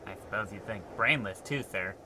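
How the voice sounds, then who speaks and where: Bright, tense, timid space crewman. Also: lowish voice, normal speed, slight background noise. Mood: resigned. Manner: hesitant, deferential. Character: timid, earnest, long-suffering male spaceship crewman. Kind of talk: old-time radio comedy acting.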